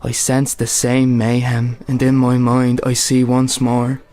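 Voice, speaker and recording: A man's voice: medium pitch, monotone, unhurried, mellow, lightly acted. A man with an Irish accent acting an earnest, plain-spoken Irish soldier in a radio play, in narration and dialogue. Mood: reflective. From Ireland. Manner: reflective first-person storytelling. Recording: faint noise and background ambience.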